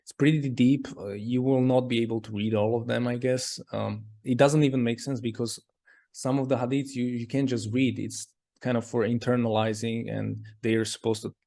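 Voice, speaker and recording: Brief: male interview guest, mid-pitched, casual and rambling, leans on "like" constantly. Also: fairly even intonation, moderate pace, gravelly, dark tone. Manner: rambling, heavy use of "like". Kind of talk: casual conversation.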